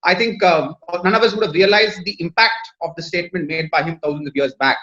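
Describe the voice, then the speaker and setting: A man with an Indian English accent, a Tamil native speaker, gives a measured, formal panel talk over a video call, pausing often on fillers. His voice sits high for a man. The sound is muffled and lo-fi. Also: pushed and bright. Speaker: panelist, lawyer.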